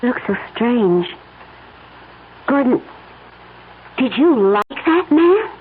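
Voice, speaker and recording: An actress in an old-time radio drama playing an affectionate, curious wife who asks gentle, concerned questions. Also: female voice, high voice, sing-song, slow, exaggerated voice. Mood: puzzled. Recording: tinny, faintly noisy old radio broadcast.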